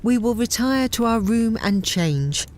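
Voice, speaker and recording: A woman's voice: fairly high pitch, animated, measured pace. A woman playing a confident, wry space captain in an audio drama, polite but given to dry scolding. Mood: gracious. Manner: polite to others, dryly scolding.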